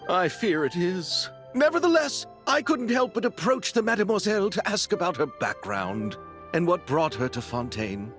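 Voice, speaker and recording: A man's voice: high voice, very animated, moderate pace, a bit bright. A man voicing a flamboyant, dreamy film producer in a game, speaking in theatrical, florid phrases. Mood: effusive. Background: music.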